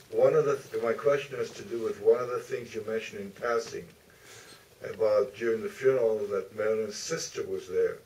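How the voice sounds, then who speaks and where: A man's voice: lowish voice, darkish tone. A man in an audience putting a question to the panel at a live Q&A. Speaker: audience member asking a question. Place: theater auditorium. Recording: distant theater mic, faint noise.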